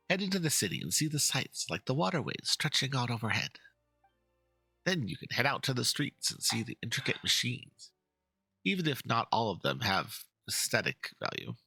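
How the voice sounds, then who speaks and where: A man performing a florid, fussy painter, bright and raspy. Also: medium pitch, dry recording, exaggerated voice, poor audio. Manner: florid, theatrical, pompous. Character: passionate, fussy male painter, florid and easily indignant. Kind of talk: dramatic reading of game dialogue.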